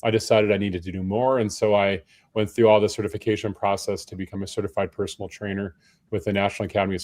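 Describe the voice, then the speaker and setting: A tired, hoarse man speaking as a panel guest, chatting in a friendly, self-deprecating way with frequent restarts. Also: fairly low pitch, a little mellow. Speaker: panel guest, personal trainer. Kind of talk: casual panel conversation.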